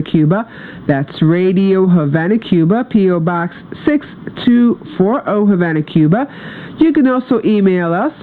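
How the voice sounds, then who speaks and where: A woman presenting on radio, reading scripted station announcements in a careful, spelled-out way. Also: lowish voice. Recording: tinny shortwave broadcast with hissy noise.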